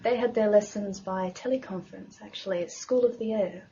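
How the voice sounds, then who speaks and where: A woman teacher answering interview questions, pausing often with small hesitations. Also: mid-range voice, mellow. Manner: hesitant with frequent "uh". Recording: muffled lo-fi recording with faint hiss.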